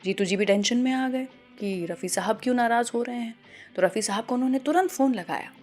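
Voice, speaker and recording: A woman hosting a radio show, telling scripted anecdotes between songs in an animated storytelling way. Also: fairly high pitch, a little fast. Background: music behind the voice.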